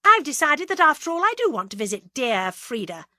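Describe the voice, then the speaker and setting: Woman reading as a bossy jealous lady detective in an audiobook, snappy, lively bright voice. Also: high voice, moderate pace, no room sound, clean studio sound, voice pushed up. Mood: indignant, snappy. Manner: snappy, assertive. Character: brisk, bossy, jealous amateur sleuth woman. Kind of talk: audiobook character acting.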